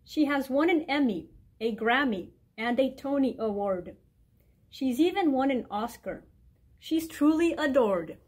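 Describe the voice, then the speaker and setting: A middle-aged woman reading a children's picture book aloud in a clear, deliberate storytime way in a library room. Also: sits high, lively intonation, a little mellow. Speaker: guest reader, mayor. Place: indoor library room with bookshelves.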